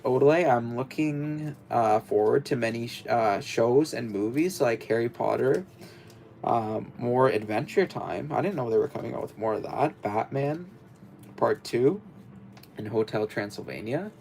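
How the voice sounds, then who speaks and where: A man's voice: measured pace, a little mellow, a little hiss or hum. A young man hosting a casual livestream, talking in a rambling, hesitant way with lots of fillers and restarts. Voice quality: deep, gravelly voice. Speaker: livestream host. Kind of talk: casual livestream chat while building.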